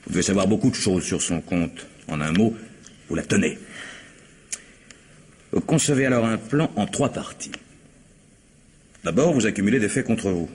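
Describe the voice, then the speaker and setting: Police commissioner, very deep voice, husky, formal, methodical, deliberate, radio whodunit. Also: male voice, a little mellow. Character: composed, methodical police commissioner confronting suspects. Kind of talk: old-fashioned French radio mystery acting.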